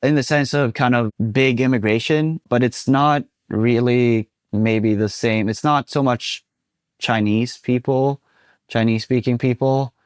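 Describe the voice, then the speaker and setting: A man's voice: mid-range voice, a little slow, smooth, dry recording, clean studio sound. A young man from Sweden, a guest speaking casually in an interview with many fillers. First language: Swedish. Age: 20s. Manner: relaxed and chatty, many fillers like "you know".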